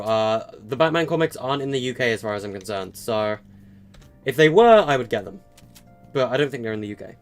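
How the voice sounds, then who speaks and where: An eighteen-year-old man streaming a game live, chatting loosely with viewers, with many fillers and stutters. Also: medium pitch. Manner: rambling, with frequent fillers and stutters. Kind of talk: casual live-stream chat answering viewers.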